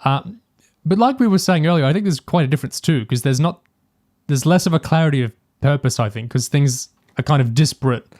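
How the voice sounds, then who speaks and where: A man's voice: on the high side, a little fast, darkish tone, no echo, studio quality. A man in a casual review discussion as a podcast host, thinking aloud in a hesitant way.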